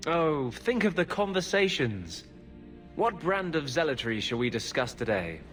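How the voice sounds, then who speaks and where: A teasing male adventurer from a video game. His voice is lively in pitch and drips with dry sarcasm, with soft music behind. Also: measured pace. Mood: sarcastic. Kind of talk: video game dramatic acting.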